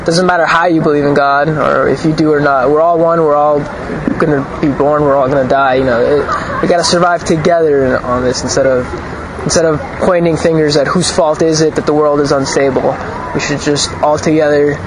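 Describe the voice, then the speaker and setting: A young man with a slightly higher voice, talking outdoors with loud traffic noise behind him. He rambles loosely, full of fillers and restarts, as a student being interviewed on the street. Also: steady tone, fairly quick. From Ukraine, raised in Los Angeles. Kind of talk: street interview, casual opinions. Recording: noisy outdoor street recording.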